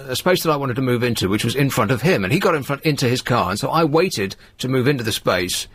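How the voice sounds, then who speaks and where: A man's voice: medium pitch, expressive, fast, a bit bright, husky. A man hosting a live radio phone-in, chatting and telling stories in a dry, exasperated way. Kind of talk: casual live phone-in chat and anecdote.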